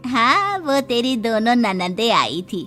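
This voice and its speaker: A woman's voice: fairly high pitch, big pitch swings, slow pace, bright, forceful tone, soft background music, full character voice. A woman voicing a mild, caring older mother-in-law in a family moral story, coaxing and motherly. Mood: reassuring.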